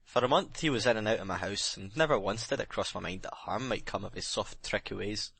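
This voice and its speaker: A man's voice: lowish voice, brisk, vocal fry, fairly bright tone, breathy edge, no room sound, full character voice. A man playing a bitter, remorseful sailor making a brooding, emphatic confession in a dramatic audiobook reading.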